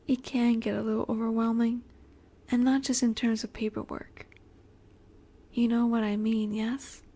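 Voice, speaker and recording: A woman playing a poised, teasing young vampire in an intimate audio roleplay, speaking gently and unhurriedly with breathy asides. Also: fairly high pitch. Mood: pensive, soft.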